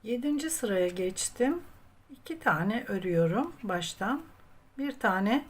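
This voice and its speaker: A woman's voice: medium pitch, animated, takes it slowly. A woman with a mid-range voice teaches a craft as a tutorial host. She goes step by step, patient and methodical, in the manner of someone guiding viewers through handwork. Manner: patient, methodical, often counting aloud.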